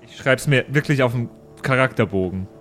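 A man's voice: medium pitch, fairly quick, a bit bright. A man speaking as a player in an improvised role-playing game, switching between in-character talk and stating his moves. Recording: music behind the voice. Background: music.

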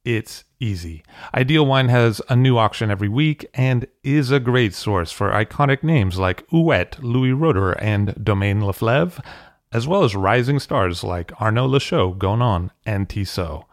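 A man hosting a podcast, asking brisk, upbeat questions and reading ads. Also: on the low side, dry recording. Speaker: podcast host. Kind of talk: podcast interviewing and ad read. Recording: dry close-mic studio sound.

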